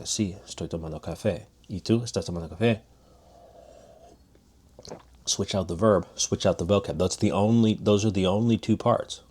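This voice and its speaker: Casting: man with a low voice, teacher type, chatty and demonstrative, lots of restarts. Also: a little mellow, breathy edge. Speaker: language teacher. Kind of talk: casual spoken lesson.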